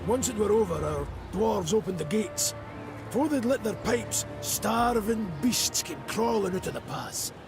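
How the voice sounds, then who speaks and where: A man playing an earthy, blustering dwarf clansman in a video game, with a Scottish accent and a gravelly voice. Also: high-pitched, lively intonation, a little slow, over music, heavily acted. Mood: grim, remorseful. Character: crude, evasive dwarf clansman confessing uneasily. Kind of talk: scripted video game voice acting.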